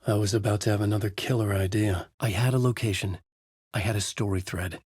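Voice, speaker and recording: An actor playing a haunted, desperate horror writer, narrating in a brooding, intense noir style for a video game. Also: male voice, lowish voice, steady tone, fairly quick, a little mellow, husky, no echo, clean studio sound. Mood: brooding, grave. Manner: brooding, intense, terse delivery. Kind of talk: dramatic first-person noir narration.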